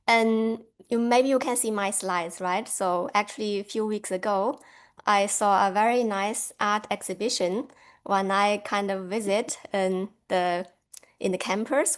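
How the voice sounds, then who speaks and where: Woman giving an online lecture. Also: medium pitch. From China. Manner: friendly, with frequent light laughs. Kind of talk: academic lecture over video call.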